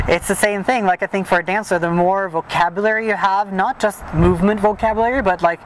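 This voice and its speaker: A man's voice: high voice, fairly even intonation, brisk, rough recording. A man from Norway, a dancer answering interview questions in a reflective, casual way with light laughs. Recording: outdoor recording with traffic noise.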